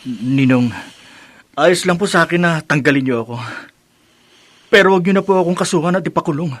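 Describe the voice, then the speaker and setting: A man's voice: fairly high pitch, a little fast, no room sound, natural voice. A man with a Filipino accent playing an apologetic young employee, hesitant and submissive as he pleads with his godfather in a drama reenactment. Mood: desperate, pleading. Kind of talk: television drama reenactment acting.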